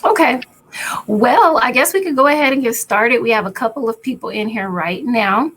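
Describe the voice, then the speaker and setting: Raspy chatty woman on a live stream. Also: a little high, normal speed. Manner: relaxed, chatty, often laughing. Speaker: co-host of a live spiritual chat. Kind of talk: casual live-stream chat.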